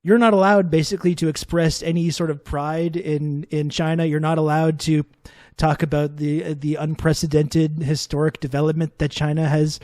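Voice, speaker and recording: A young man hosting a political interview, talking casually and haltingly with lots of fillers. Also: on the high side, level tone, a little mellow, no room sound, studio quality. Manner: casual, halting, many fillers like "like" and "you know". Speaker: host.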